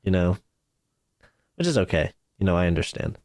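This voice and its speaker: A man's voice: low voice, big pitch swings, moderate pace, darkish tone, no room sound, studio quality. A man in his twenties, a YouTuber chatting casually on a podcast, laid-back and saying "like", "dude" and "bro" often. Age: 20s.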